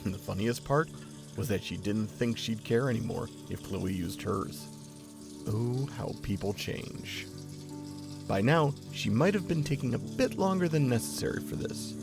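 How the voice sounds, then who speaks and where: Gravelly low male narrator. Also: lively intonation, a little slow. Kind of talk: scripted story narration. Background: music.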